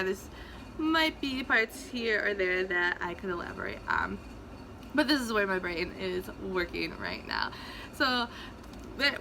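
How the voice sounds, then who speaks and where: A woman with a very bright, forward voice gives a casual book recap. She sits a little high in pitch and talks conversationally, stressing key phrases as she goes. Some background noise sits under her voice. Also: rough recording. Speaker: book reviewer hosting a read-along recap.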